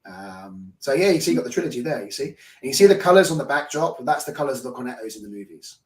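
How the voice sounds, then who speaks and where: A man's voice: medium pitch, lively intonation, fast talker. A British man, a podcast guest, chatting about films in a rambling way, full of restarts and fillers, over a video call. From United Kingdom. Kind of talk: casual film discussion. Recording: echoey room, distant from mic.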